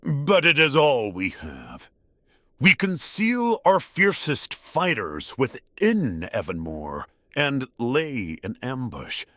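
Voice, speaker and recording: Resolute warrior lord, played by an actor for fantasy audio drama: forceful bright tone, hugely dynamic swings in pitch. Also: male voice, huge pitch swings, slow pace, dry recording, studio quality, exaggerated voice. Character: bold male warrior lord, resolute battle leader.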